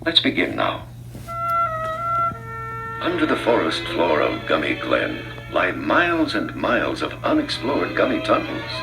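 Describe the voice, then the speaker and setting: A male narrator tells a children's storybook tale with very animated, dramatic intonation. His voice has some creak to it, and prominent music plays underneath. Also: sits low, measured pace, rough recording. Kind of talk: scripted read-along children's narration.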